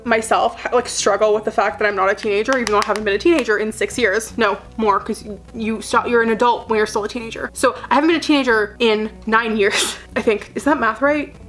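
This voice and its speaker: Fast-talking young woman, rambling. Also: fairly high pitch, a bit bright, poor audio. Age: 20s. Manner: rambling, full of "like" fillers. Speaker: beauty vlogger. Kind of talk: chatty get-ready-with-me talk while doing makeup.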